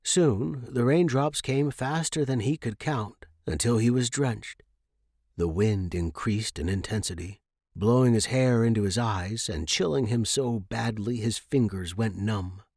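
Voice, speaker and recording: A man's voice: medium pitch, measured pace, a little mellow, dry recording, studio quality. A man reading a short story aloud as a scripted narration.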